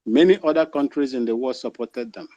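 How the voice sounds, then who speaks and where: A man with a Ghanaian accent, moderating a live discussion and putting questions to a guest in a measured, deliberate way. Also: mid-range voice, dark tone, dry recording. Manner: measured, deliberate, slows for emphasis.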